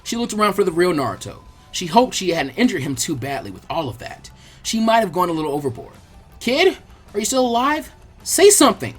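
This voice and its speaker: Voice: medium pitch, breathy edge. A narrator reading an action story aloud as a dramatic, scripted audiobook. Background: background music.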